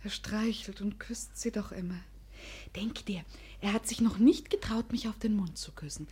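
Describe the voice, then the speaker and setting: An actress playing a spirited, wilful young gentleman's daughter in a radio comedy, coy and playful. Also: medium pitch, normal speed, slightly rough. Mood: excited, confiding. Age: young adult.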